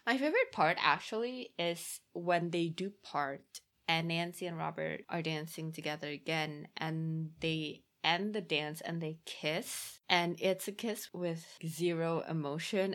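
A woman co-hosting a film review podcast, chatting casually in an expressive, slangy, opinionated way and often mimicking imagined speakers. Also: fairly low pitch. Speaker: podcast co-host reviewing films.

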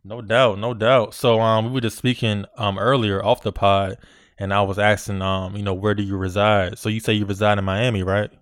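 A man hosting a casual podcast interview, relaxed and chatty with lots of fillers and friendly shout-outs. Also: on the low side, normal speed, dry recording, studio quality. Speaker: podcast host. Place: Virginia.

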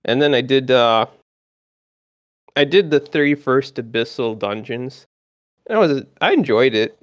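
A man's voice: mid-range voice, expressive, a little slow, no echo, dull audio. Dude in his 20s, rambly and chatty, lots of likes and uhs, dry close sound. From Canada. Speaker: podcast guest. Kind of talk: casual gaming podcast chat.

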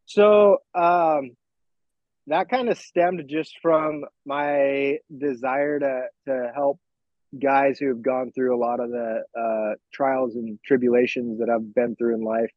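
An American man in his forties telling his own story in an informal interview, reflective and candid, halting as he picks his words. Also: mid-range voice, fairly even intonation, dry recording, dull audio. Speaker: fitness coach, podcast guest.

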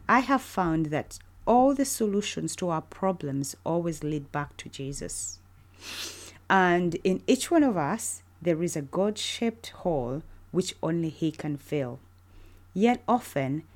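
A woman's voice: lowish voice, a little mellow. A middle-aged woman from Uganda hosting a faith-based podcast, speaking in an earnest, encouraging way. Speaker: podcast host.

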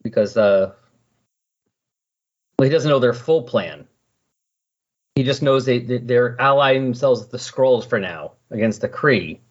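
A man's voice: medium pitch, expressive, unhurried, pauses often. A man talking casually and enthusiastically about comic books, like a podcast guest. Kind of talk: casual comic book discussion. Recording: muffled lo-fi audio.

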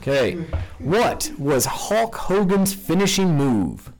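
A man's voice: sits high, slow, background noise. Guy running a trivia game with his buddies, bouncy voice that jumps all over, laughs a lot. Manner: animated, laughs often, reads questions aloud. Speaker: quiz host. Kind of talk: casual trivia game among friends.

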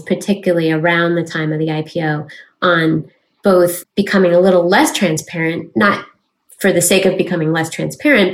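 Female corporate advisor for a panel discussion, voice a little on the low side. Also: creaky, low-quality recording. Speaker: lawyer advising companies, panelist.

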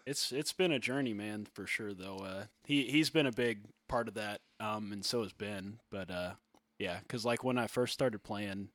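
Soft-voiced rambling man, dry mic. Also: normal speed, no echo. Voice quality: fairly high, soft voice. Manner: soft-spoken, rambling with many fillers and restarts. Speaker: musician and podcast co-host. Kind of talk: casual podcast chat.

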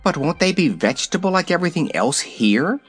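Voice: medium pitch, sing-song, a little fast, husky, faint music behind, pitched above the performer's own voice. A narrator voicing an eager, hungry female kitten in an audiobook, wheedling and plaintive. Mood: excited. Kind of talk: audiobook character voice.

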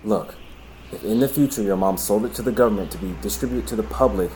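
A man's voice: on the low side, fast talker, a little mellow, slight background noise, close to an everyday voice, low-quality recording. An actor playing an earnest, cautious and dutiful young man with a serious, admonishing way of talking in an audio drama. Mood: stern, defensive.

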